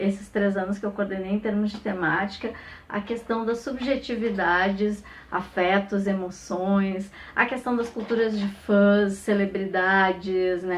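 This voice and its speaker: Brazilian woman giving an informal academic testimonial. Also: medium pitch. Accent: Brazilian Portuguese. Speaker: academic researcher and professor. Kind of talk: informal recorded testimonial, unscripted reflective talk.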